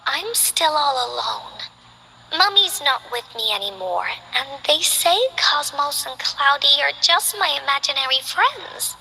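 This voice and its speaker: A woman voicing a lonely, dreamy little girl who is playful and curious, speaking in a soft, wistful, childlike way in game character voice lines. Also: sits high, animated, unhurried, gravelly, big-room sound, faint music behind, heavily acted, poor audio.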